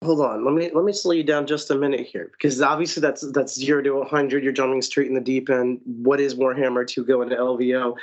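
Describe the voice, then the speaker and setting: Excited man hosting a podcast. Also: mid-range voice, fast, few pauses. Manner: excited, effusive, repeats himself for emphasis. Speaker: podcast host. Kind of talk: enthusiastic podcast chat.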